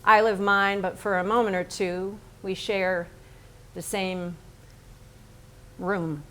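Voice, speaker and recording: An intense self-assured painter guy in a stage drama, short deliberate declarative lines, blunt. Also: medium pitch, fairly even intonation, pushed and bright. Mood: intense, absorbed. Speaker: stage actor. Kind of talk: theatrical staged reading.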